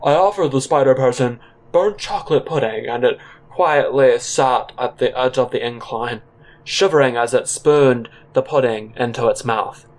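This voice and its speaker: A man's voice: medium pitch, normal speed. A man narrating a comic audio drama in the first person, with a rambling, awkward, conversational manner.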